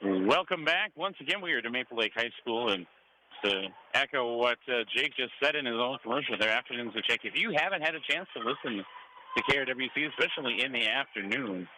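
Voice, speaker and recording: A man's voice: medium pitch, lively intonation, a little fast. A man with an American accent giving live radio play-by-play of a volleyball match. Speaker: radio play-by-play sports announcer. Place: school gym during a match. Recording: clearly noisy gym ambience behind voice. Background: gym noise.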